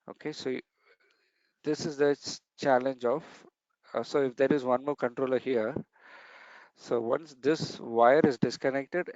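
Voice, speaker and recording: A man's voice: medium pitch, darkish tone, no echo, dull audio. A man giving a technical training lesson over slides, explaining step by step and repeating key points.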